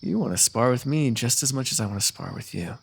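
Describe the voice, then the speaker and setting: A man performs a confident male fantasy noble, a former rival who has become an ally. His mid-pitched voice has a gravelly creak, and he speaks formally and wordily, always playfully goading, in the manner of an ASMR roleplay. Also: expressive, fast, a little hiss or hum. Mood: eager, goading.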